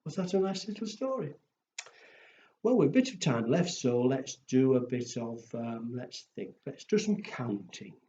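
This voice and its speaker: A man's voice: medium pitch, expressive, unhurried, mellow. Echoey, playful male children's storyteller. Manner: playful, simple phrasing with acted woofs and exclamations. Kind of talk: storytelling and counting rhymes for young children. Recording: echoey room, dull sound, faint noise.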